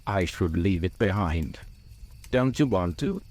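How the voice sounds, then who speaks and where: A man voicing a grave old male wizard in a storybook, stern and patient, with a quiet, measured manner. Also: low voice, lively intonation. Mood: quiet, probing.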